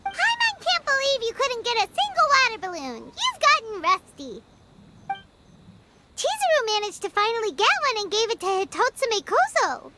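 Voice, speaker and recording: A chatty little floating fairy girl in a game, with a very high, squeaky voice that leaps around. She is greedy and refers to herself in the third person. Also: very animated, moderate pace, bright, forceful tone, heavily acted. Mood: playful. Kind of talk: game dialogue.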